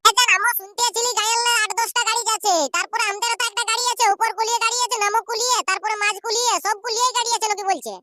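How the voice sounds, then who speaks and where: Man putting on a very high, hurried village woman. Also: fairly even intonation, very noisy. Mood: excited, bossy. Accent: Purulia. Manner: repetitive, hurried, bossy. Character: bustling, boastful village woman eager for the fair. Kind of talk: comic cartoon dialogue in village chatter.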